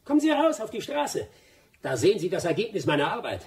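A man's voice: high voice, huge pitch swings, quick pace, breathy edge, full character voice. An actor playing a proud, determined male adventurer in a German radio drama, speaking bluntly and resolutely. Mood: insistent. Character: proud, determined male adventurer, British rubber-tree expert.

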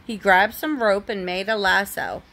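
A woman's voice: medium pitch, fairly even intonation, unhurried, sharp tone. A woman reading a storybook aloud expressively to a young child, as a parent does at bedtime.

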